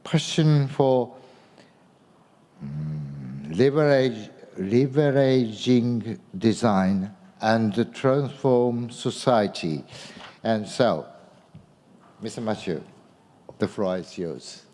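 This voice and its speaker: A man's voice: lowish voice, animated, extremely slow, warm tone. An older man introducing speakers formally as a moderator, hesitant and stumbling over words. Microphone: microphone on a stand. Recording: echoey hall.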